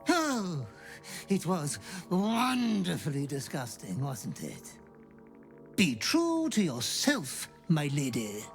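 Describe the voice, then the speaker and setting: A man's voice: on the high side, huge pitch swings, slow, creaky, faint music behind, full character voice. An actor playing an obsequious demon butler who gleefully fawns over his murderous master in a video game. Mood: gleeful fawning. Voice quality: fawning, gleefully ghoulish.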